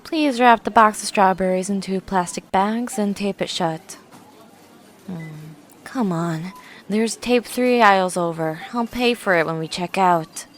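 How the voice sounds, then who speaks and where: A woman's voice: medium pitch, unhurried, slight background noise, close to an everyday voice. A woman voicing a grumpy, obsessive young horse-girl scientist in an ASMR roleplay, talking in a precise, scholarly, bossy way. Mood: bossy, impatient.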